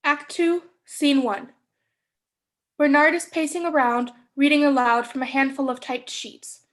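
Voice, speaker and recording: A woman's voice: high-pitched, fairly even intonation, a little slow. A young woman hosting a staged play reading and narrating its stage directions. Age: young adult.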